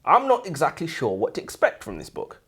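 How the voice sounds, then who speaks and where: Male book-show co-host, wildly swinging intonation, husky edge, incredulous slangy banter. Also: fairly high pitch, wildly swooping pitch, a little fast, a bit bright. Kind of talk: casual comedic book discussion. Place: warm room, seated in comfy chairs.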